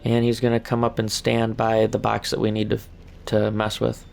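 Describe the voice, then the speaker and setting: Hoarse man calmly guiding a game. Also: on the low side, moderate pace, faint music behind. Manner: calm step-by-step instructions. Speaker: gameplay walkthrough narrator. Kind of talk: casual game walkthrough commentary.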